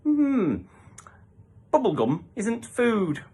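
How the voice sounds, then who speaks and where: Guy doing a cheeky schoolgirl for a kids story, high voice, pert, argues back. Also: wildly swooping pitch, extremely slow, mellow, husky, exaggerated voice. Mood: defiant. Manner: pert, argumentative, mock-polite. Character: cheeky, defiant young schoolgirl. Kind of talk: storybook character voice.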